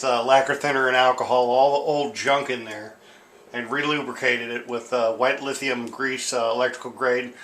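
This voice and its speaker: Man in a roomy, bright-voiced demo. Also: mid-range voice. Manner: informal, hesitant, explanatory. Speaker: tape deck restorer demonstrating a machine. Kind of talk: casual unscripted product demonstration. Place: indoor room at home. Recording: roomy, echoing sound with hiss.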